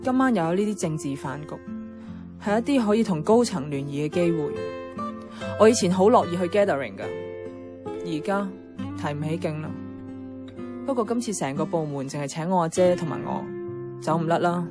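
Casting: actress as strong-willed, weary Hong Kong office woman, lowish pitch, Cantonese radio drama with English words mixed in, music under the voice. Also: expressive, normal speed, pauses often, natural voice. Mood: reflective, subdued. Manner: code-switches into English words. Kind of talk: radio drama dialogue and inner-monologue narration.

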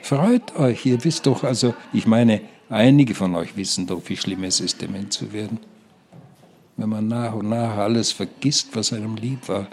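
Actor as a low-voiced, darkly cheerful persuader. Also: male voice, normal speed, a little mellow, a little hiss or hum, close to an everyday voice. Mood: coaxing, darkly cheerful. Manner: coaxing, rhetorical questions, dwelling on words. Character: darkly cheerful male care-home inmate persuading his companions. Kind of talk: radio play monologue and dialogue.

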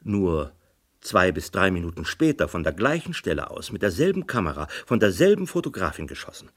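A man's voice: sits low, sing-song, a little fast, breathy edge, exaggerated voice. An actor playing an eager, insistent man bringing his suspicions to the police in a 1960s German radio crime drama. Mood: insistent, excited. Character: eager, insistent amateur sleuth, male witness.

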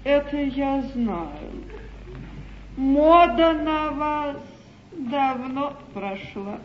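Imperious court duchess, icy, condescending, grand theatrical diction, roomy hall sound, 1950s stage comedy. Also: female voice, high voice, expressive, drawn-out words, exaggerated voice. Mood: cold, haughty. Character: haughty, imperious English duchess at royal court. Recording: muffled, echoey 1953 theatre broadcast, faint noise.

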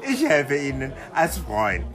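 A sly Nigerian man in a radio play, taunting and insinuating. His pitch swings widely as he offers his dubious help. Music runs clearly behind him. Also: huge pitch swings, slow, exaggerated voice. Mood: mocking, insinuating.

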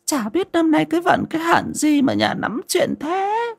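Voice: high-pitched, huge pitch swings, moderate pace, vocal fry, voice pushed up, full character voice. A narrator voicing an anxious, bossy elderly village wife with fretful, folksy, stammering speech in an audio story. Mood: worried, bossy.

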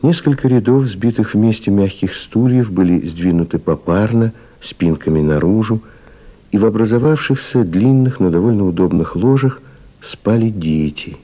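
Narrator for radio drama: calm storyteller, very low, dark, mellow voice, literary delivery. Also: male voice, normal speed. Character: calm storyteller setting the scene. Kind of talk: literary scripted narration, 1970s Soviet radio play.

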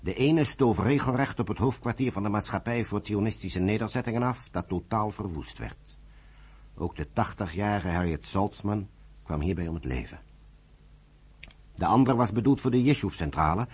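A man narrates a scripted radio drama. His voice is low and husky. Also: normal speed, a little mellow.

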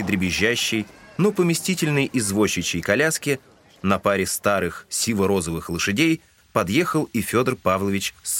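A man's voice: on the low side, noisy background. A man reading scripted literary narration as the narrator of an audio drama.

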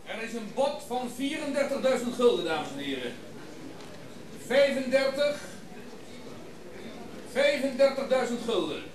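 An actor playing a formal auctioneer in a radio play, calling out bids in a measured, declamatory way. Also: male voice, very high voice, big pitch swings, heavily acted. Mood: formal, commanding. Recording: echoey hall from far off, crowd.

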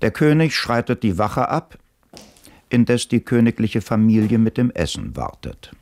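A man calmly reading stage directions as the narrator of a radio play. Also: lowish voice, measured pace, slight background noise. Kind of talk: plain scripted announcement.

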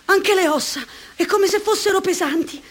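A very high, thin voice belongs to a tired, dreamy young woman who aches all over, in a radio drama. She talks softly and wistfully, half lost in her own thoughts. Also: normal speed. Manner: quiet, weary, dreamy. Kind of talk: Italian radio play acting.

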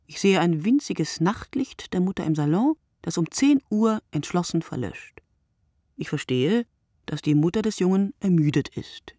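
Husky, hushed night-time writer. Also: female voice, lowish voice, dry recording, studio quality, heavily acted. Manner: deliberate, poetic, often dropping to a breathy hush. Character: wakeful solitary night writer, a woman, brooding and word-obsessed. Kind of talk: literary radio play monologue.

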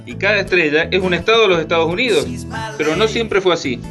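A man's voice: high voice, fast, over music, lightly acted. A man playing a confident, know-it-all explainer, narrating history emphatically in an educational radio drama. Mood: confident, wry. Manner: didactic, emphatic. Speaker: main narrator.

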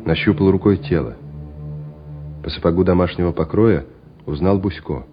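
A man's voice: sits low, moderate pace. A man narrating a war radio play in a scripted, dramatic storytelling style. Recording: dull radio recording with music behind.

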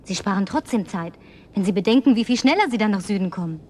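A woman's voice: mid-range voice, animated, quick pace, a little mellow, close to an everyday voice. An actress playing a lonely, house-proud young woman in a German radio drama, coaxing and effusive with sighs. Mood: eager.

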